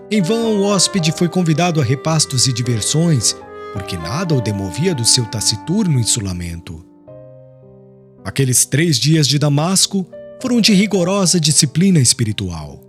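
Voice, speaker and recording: A man narrating a literary audiobook in a solemn, expressive, scripted manner. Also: fairly high pitch. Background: music.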